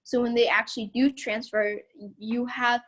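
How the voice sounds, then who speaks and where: A high-pitched young woman speaking from home, guiding listeners through a presentation with a chatty, upbeat delivery. Her voice carries loudly, and she breaks into laughter often between points. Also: fairly even intonation, moderate pace. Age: young adult. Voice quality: loud voice. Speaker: student body president giving a campus tour. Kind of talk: casual narrated slide tour.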